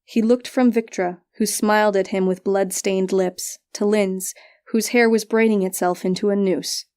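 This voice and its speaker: A woman's voice: mid-range voice, fairly even intonation, normal speed, a little mellow. A woman narrating a literary first-person fantasy story as an audiobook reader.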